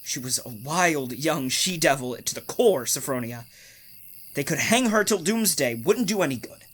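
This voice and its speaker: A man's voice: a little high, animated, a bit bright, husky. A man playing a brooding, menacing, obsessive husband. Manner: brooding, deliberate, domineering. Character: menacing, obsessive, unhinged husband haunted by his dead sister. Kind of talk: old-time radio thriller acting.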